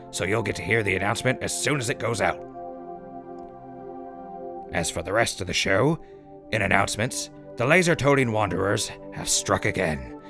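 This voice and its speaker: Deep, gravelly radio host played by a man. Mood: upbeat. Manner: wry, folksy, mock-gracious announcer patter. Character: wry, cheeky male wasteland radio host. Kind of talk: scripted in-character radio broadcast.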